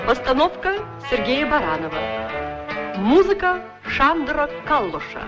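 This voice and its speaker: A woman's voice: sits high, very animated, measured pace. A woman announcing a radio play and its cast in a formal, scripted style, on a 1960s broadcast. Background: music.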